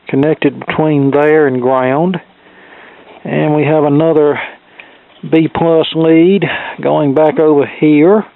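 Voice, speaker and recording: A man's voice: on the high side, vocal fry, no echo. Methodical man, thin phone-like sound. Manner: methodical, measured, explanatory. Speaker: electronics repair instructor. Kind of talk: hands-on repair tutorial, talking through steps. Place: indoor cluttered electronics workbench.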